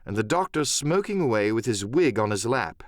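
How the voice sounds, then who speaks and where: A man's voice: medium pitch, sing-song, normal speed, no echo, studio quality. A man narrating an adventure story as an audiobook, in a dramatic, scripted storytelling style.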